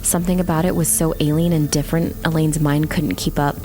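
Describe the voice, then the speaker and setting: A woman narrating a scripted dark fantasy audiobook in a dramatic storytelling style. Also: low voice, fairly even intonation, brisk, low-quality recording. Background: faint music.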